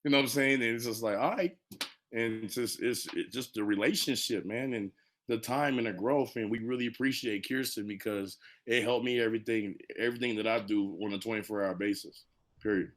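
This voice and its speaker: An American man speaking off the cuff as a panelist, in animated colloquial speech with frequent check-ins to the listener. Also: on the low side, fairly quick. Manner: animated, colloquial, peppered with "you know what I'm saying". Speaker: monologuist on a panel. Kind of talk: casual unscripted storytelling.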